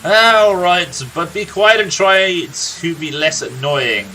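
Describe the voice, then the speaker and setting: Grumpy older male villager, acted by a man, video game storyteller, blunt, sharp-edged voice, faint music behind. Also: high-pitched, slow, heavily acted, low-quality recording. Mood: irritable, gruff. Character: gruff, irritable older male villager telling a tale.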